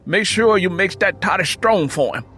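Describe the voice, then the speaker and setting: A man's voice: sits high, animated, normal speed, pushed and bright, faint music behind, pitched above the performer's own voice. A man voicing a protective father of a poor rural family, folksy and commanding, in an audiobook drama. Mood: concerned, commanding.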